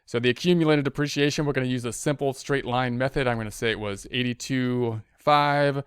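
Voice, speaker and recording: A man's voice: mid-range voice, fairly even intonation, brisk, smooth, dry recording, studio quality. A man giving a step-by-step spreadsheet tutorial as an instructor, thinking aloud and often repeating his phrases. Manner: repeats phrases while working through steps. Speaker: accounting instructor.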